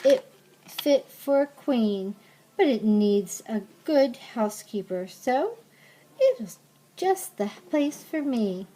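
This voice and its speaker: Woman reading as a sweet little storybook squirrel, high-pitched. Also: very animated, takes it slowly, warm tone, exaggerated voice, rough recording. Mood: pleased. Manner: cheerful, homely, polite. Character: sweet, house-proud little female gray squirrel in a storybook.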